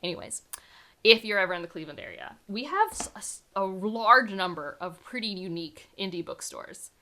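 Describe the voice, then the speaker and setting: A woman's voice: medium pitch, sing-song, brisk, sharp tone, slight background noise. A woman book vlogger chatting casually to camera, enthusiastic and full of "like" fillers. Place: home room surrounded by books.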